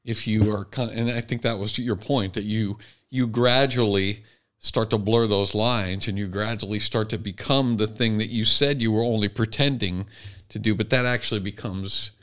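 A man co-hosting a casual, reflective discussion, feeling his way through ideas with halting, repeated phrases. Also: fairly low pitch, steady tone, a bit bright. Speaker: podcast co-host.

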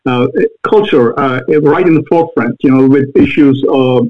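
Indian-accented man on a tinny line. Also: medium pitch. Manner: earnest, halting with many fillers. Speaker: guest. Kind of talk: interview answers.

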